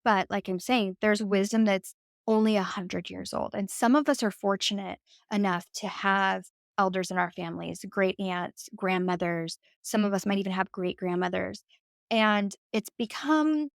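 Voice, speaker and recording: A woman's voice: medium pitch, moderate pace, creaky, no room sound, clean studio sound. A woman speaking as a podcast guest, talking in a reflective way with lots of "like" and "right?". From United States. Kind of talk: conversational interview.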